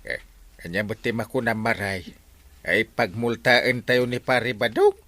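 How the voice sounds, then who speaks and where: A man with an Ilocano accent playing an excitable, scolding village captain in a radio comedy, exclaiming and leaping into falsetto. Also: measured pace, no echo.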